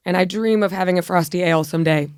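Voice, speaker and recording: Candid, swearing woman with a smooth voice. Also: on the low side, fast, a little mellow, no echo, clean studio sound. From Buffalo, New York. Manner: candid, rambling, swears freely. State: losing her voice. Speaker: guest comedian. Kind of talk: casual podcast chat.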